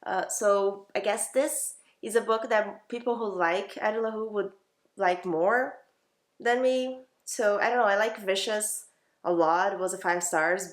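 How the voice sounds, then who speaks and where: A woman's voice: mid-range voice, roomy sound. A woman giving casual, chatty book reviews as a vlogger, informal, opinionated and emphatic in how she talks.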